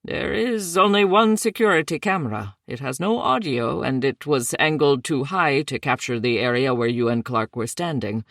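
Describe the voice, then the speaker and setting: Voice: mid-range voice, expressive, normal speed, gravelly, few pauses, a bit bright, no room sound, clean studio sound. A narrator voicing a matter-of-fact male tech worker with a thick Indian accent.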